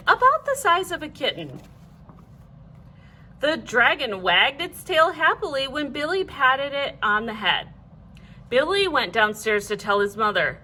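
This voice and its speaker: A woman reading a children's storybook aloud like a teacher, in an animated, clearly phrased way. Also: high voice, a little hiss or hum, low-quality recording.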